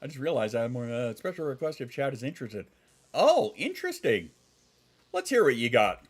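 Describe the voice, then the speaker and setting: A man's voice: medium pitch, very animated, brisk, slightly rough. A man in his thirties, congested and sniffling, chatting casually on a live stream, rambling, swearing and reacting to chat. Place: home.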